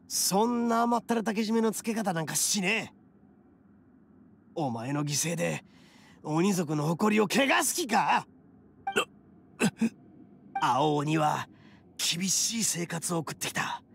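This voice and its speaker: An actor playing a brash, loyal, hot-blooded oni gang boss in a Japanese anime game, rough, cocky and gravelly. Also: male voice, high voice, fairly bright tone, slight background noise, full character voice. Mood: resolute. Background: game music.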